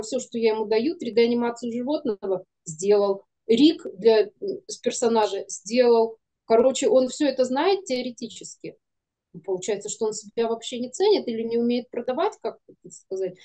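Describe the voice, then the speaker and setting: High-voiced, mellow woman chatting casually. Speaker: guest, animation studio producer. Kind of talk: casual conversation. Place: indoor room, video call. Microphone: video call.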